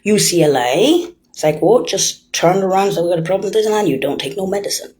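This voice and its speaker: An older woman with a very husky, breathy voice that sits low in her register. She rambles on, dropping to near-whispers and then punching words out loud, speaking straight at her listeners in an accusing tone. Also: lively intonation, a little fast. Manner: lurches between hushed whispers and loud emphatic bursts; accusatory, addresses listeners directly. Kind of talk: rambling monologue to camera.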